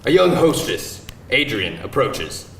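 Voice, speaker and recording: Male stage-direction narrator for a table read, varied pitch, echoey and off-mic. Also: big pitch swings, slow pace, creaky. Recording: distant mic, echoey live room, faint noise.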